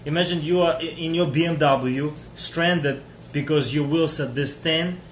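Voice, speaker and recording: A man's voice: fairly high pitch, a little slow. A man from Georgia hosting a casual live stream chat, chatty and joking as he answers viewers. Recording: echoey room with faint noise.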